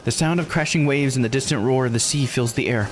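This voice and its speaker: A man's voice: mid-range voice, quick pace, noisy background, voice pushed up, close to an everyday voice. A man voicing an earnest, curious young woman who is the polite heroine of a visual novel, speaking expressively. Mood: reflective. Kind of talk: visual novel character read aloud.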